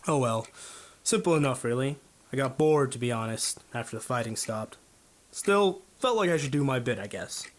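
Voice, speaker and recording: A man's voice: mid-range voice, expressive, normal speed, natural voice. A man playing an overworked, modest new homicide detective in a noir radio drama, speaking in a hesitant, friendly, self-effacing way. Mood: modest, frank.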